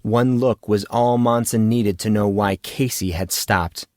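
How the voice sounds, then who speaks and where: A man's voice: on the low side, steady tone, unhurried, a little mellow, no echo, clean studio sound. A man reading a scripted fantasy story as an audiobook narrator.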